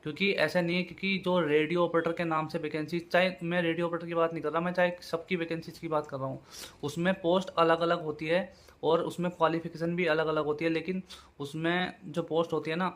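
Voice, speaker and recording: A man's voice: on the high side, flat delivery, fairly quick. A man giving an informal explainer to viewers as a channel host, repeating his points with familiar check-in tags. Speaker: YouTube host giving job exam updates.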